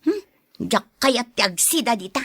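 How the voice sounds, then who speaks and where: A woman's voice: a little high, expressive, normal speed, slightly rough, dry recording, clean studio sound. A woman playing a blunt, exasperated young daughter in a radio drama, with a curt manner and an Ilocano accent. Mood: reluctant, fretful.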